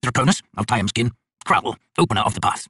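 A man voicing an arrogant, bitter tyrant High King with a raspy, theatrical, scornful delivery in an audiobook. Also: lively intonation, fairly quick, sharp tone, no room sound, studio quality. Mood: scornful, taunting.

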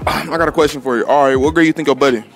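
A young American man hosting playful interviews at a high school in a casual, slangy way. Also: steady tone, very fast, soft background music. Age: young adult. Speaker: host of street-style prank interviews. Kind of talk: casual joking public interview. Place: indoors at a high school, rooms and hallway.

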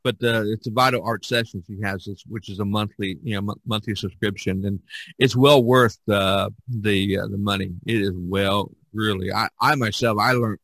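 A man hosting a casual podcast chat, speaking haltingly and often repeating words. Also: lowish voice, steady tone, fairly bright tone, no echo, rough recording. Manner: halting, with repeated words and frequent "you know". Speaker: podcast host. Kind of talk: casual discussion of art practice.

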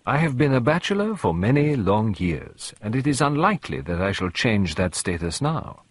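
A man voicing a formal, measured, polite middle-aged bachelor detective in an audiobook. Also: on the low side, very animated, slightly rough, no echo. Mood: grave, courteous. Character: grave, courteous middle-aged male detective, confirmed bachelor. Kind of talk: audiobook character voice.

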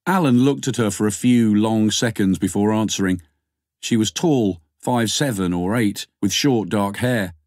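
A man's voice: lowish voice, a little mellow, no room sound, clean studio sound. A man reading scripted fiction as an audiobook narrator.

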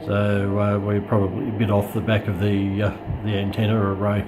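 A man's voice: fairly low pitch, monotone, normal speed, a crowd in the background, poor audio. A middle-aged Australian man giving a casual, unscripted product demonstration, hesitant and thinking aloud, recorded on a phone. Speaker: radio hobbyist presenting a video test. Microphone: phone camera held back from speaker. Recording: loud radio static and hiss, phone camera. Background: shortwave radio noise.

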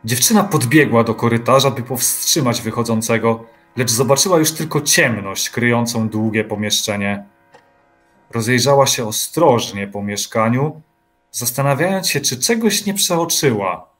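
Male narrator, measured literary reading, middle pitch, faint music underneath. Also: expressive, a bit bright. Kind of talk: scripted narration of an audio drama.

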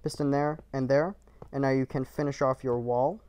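A man's voice: mid-range voice, fairly even intonation, normal speed, darkish tone, slight background noise. A man narrating a game build tutorial in short, clipped, matter-of-fact instructions, recorded as a voice-over.

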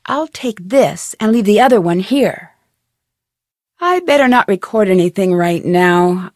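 A woman with an American accent playing a plucky, curious teenage girl detective in a video game, thinking aloud in a clear, chipper way. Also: mid-range voice, expressive, unhurried, a little mellow, no room sound, studio quality, close to an everyday voice. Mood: thoughtful, determined. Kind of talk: scripted video game voice lines.

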